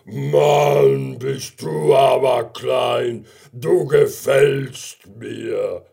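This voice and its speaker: A man voicing a huge, lonely, affectionate brown bear in a children's story, with a deep, slow, grumbling delivery. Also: vocal fry, dark tone, husky, exaggerated voice. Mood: delighted. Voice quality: deep, booming.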